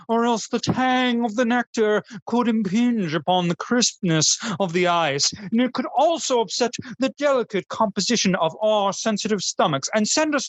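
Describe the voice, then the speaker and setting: Actor doing a haughty blueblood snob radio host, super florid, pitch swoops around, dry close sound. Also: no room sound, natural voice. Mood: condescending. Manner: pompous, florid, haughty diction. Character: pompous aristocratic snobbish New Orleans snowball connoisseur host. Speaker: host of a food radio show. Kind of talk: parody radio show monologue.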